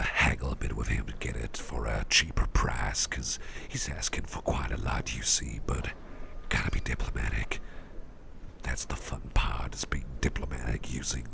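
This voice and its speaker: ASMR roleplay boss, played by a man: rock-bottom pitch, thick gravel, whispery, politely rambling artefact dealer, faint background noise. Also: a bit bright, full character voice. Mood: calm, scheming. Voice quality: very deep, gravelly, breathy half-whisper. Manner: hesitant, rambling, politely genteel. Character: Grimwald, eager, diplomatic boss and artefact dealer.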